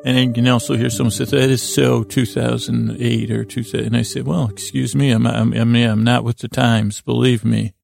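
A man hosting a bedtime podcast with a creaky, gravelly voice, rambling and stammering through silly, self-deprecating tangents. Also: mid-range voice, flat delivery, a little mellow, soft background music. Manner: halting, stammering, meandering with tangents. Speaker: podcast host.

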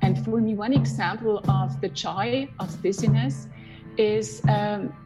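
Slow halting woman under loud music. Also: medium pitch, low-quality recording. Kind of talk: slow halting spoken recollection.

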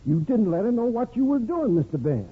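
Humble gentle servant fella, really raspy kinda high voice, hesitant, old tinny 1950s radio drama. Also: male voice, big pitch swings, brisk, heavily acted. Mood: gentle, earnest. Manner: hesitant, deferential. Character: gentle, devout, deferential servant man, barely literate. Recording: tinny, band-limited old radio broadcast.

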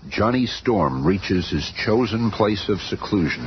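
Theatrical radio narrator, low and lively, slightly dull hissy recording. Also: male voice, unhurried. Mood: wry, dramatic. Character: dramatic, wry omniscient male story narrator. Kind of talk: dramatic scripted radio serial narration.

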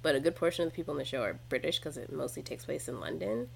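A woman's voice: low voice, animated, very fast, gravelly, a little hiss or hum, low-quality recording. A woman in casual conversation who talks chattily, laughs often and acts out her own reactions. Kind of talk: casual chat.

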